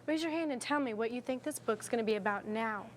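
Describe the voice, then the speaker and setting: A young woman teacher with a fairly high voice speaks in an expressive, encouraging way. There is faint background noise around her as she instructs. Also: quick pace. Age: 20s. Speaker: afterschool teacher. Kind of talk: explaining teaching methods and leading a children's read-aloud.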